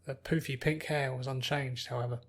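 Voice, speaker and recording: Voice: medium pitch, steady tone, a little fast. A narrator reading scripted fiction as an audiobook.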